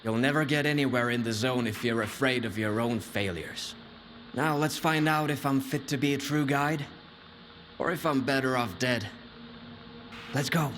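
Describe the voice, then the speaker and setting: A man's voice: medium pitch, normal speed, fairly bright tone, a little hiss or hum, close to an everyday voice. A man voicing an eager Zone guide. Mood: determined.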